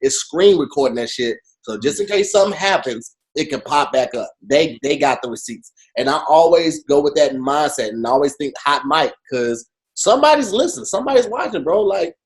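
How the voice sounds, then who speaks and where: Male podcast co-host, high lively voice, crude jokey banter. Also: fairly quick, hardly any pauses. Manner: animated, profane, jokey storytelling with mocking quoted voices. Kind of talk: casual comedic chat.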